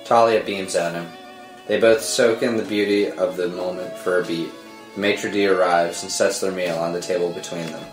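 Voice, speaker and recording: A man's voice: sits low, steady tone, moderate pace. A man narrating scripted screenplay directions at a table read. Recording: roomy echo under dramatic score music.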